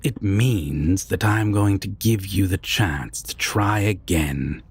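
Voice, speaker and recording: Man acting a very deep, husky vampire villain. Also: heavily acted. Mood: cool, mocking. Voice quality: low, husky, breathy, dropping into whispers. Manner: slow, deliberate, mocking, formal phrasing. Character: menacing, condescending male vampire villain, possessive captor. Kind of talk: dramatic roleplay audio acting.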